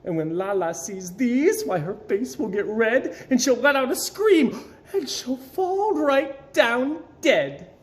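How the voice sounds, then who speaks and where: A jealous little girl bird from a storybook, played by a man, pitched high and swooping wildly. She is vain and excitable, whining and boasting with dramatic exclamations. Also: slow pace, hardly any pauses, full character voice. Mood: spiteful glee. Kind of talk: storybook character voice.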